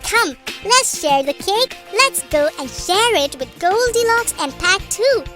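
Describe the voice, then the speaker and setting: Very high, slow little cartoon child voiced by a woman. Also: lively intonation, music in the background. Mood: sorry, childlike. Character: small cartoon children, greedy and pleading with mother. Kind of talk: scripted children's cartoon dialogue.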